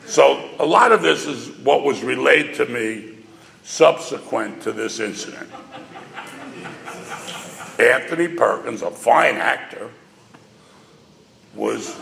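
An older man from New with a gravelly voice, telling a personal story with wry, self-mocking humor to a live audience over a stage microphone. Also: mid-range voice, a bit bright. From New York. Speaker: speaker addressing an audience. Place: large hall before a live audience. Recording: reverberant hall sound.

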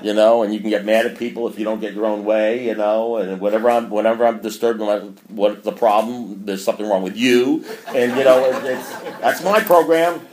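A man's voice: lowish voice, steady tone, a little fast, few pauses, a little mellow. A man in his sixties speaking at a recovery meeting, preachy and rambling, often mocking himself. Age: 60s. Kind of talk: meeting talk. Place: meeting room. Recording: echoey room.

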